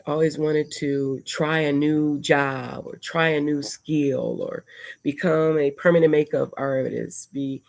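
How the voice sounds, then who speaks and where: A woman's voice: low-pitched, vocal fry, darkish tone. An older American woman hosting a casual livestream at home, rambling and reflective as she talks through long lists of thoughts. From United States. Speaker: livestream host. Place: indoors at home before dawn.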